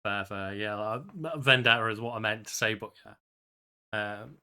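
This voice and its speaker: Bright-voiced man in casual podcast chat. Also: on the low side, little pitch movement, measured pace, clean tone. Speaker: podcast co-host.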